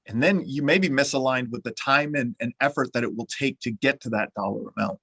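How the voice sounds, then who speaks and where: A man's voice: medium pitch, a little fast, creaky, pushed and bright, breathy edge, no echo. Guy hosting a podcast, lots of uh and restarts, dry close mic. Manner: rambling and repeats his words, many "uh" restarts. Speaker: podcast host. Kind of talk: conversational interview.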